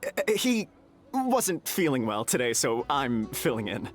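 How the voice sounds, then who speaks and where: Flustered, jumpy festival food vendor. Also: male voice, mid-range voice, sing-song, slow. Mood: nervous excusing. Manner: flustered, stammering, eager to please. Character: nervous, deferential male festival food vendor, flustered guard underneath. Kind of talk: anime-style video game voice acting. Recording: faint background music.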